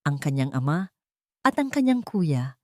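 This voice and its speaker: A woman narrating a scripted audio drama in measured, expressive short phrases. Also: sits low, no room sound.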